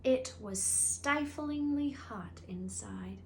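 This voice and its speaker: A woman's voice: a little high, animated, slow, vocal fry. Woman reading a children's story aloud. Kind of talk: expressive children's book narration. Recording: faint background noise and ambience.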